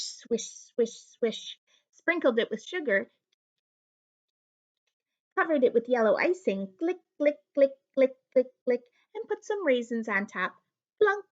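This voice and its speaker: A woman teacher reading a children's picture book aloud, expressively, playing with the sound-effect words. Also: on the high side.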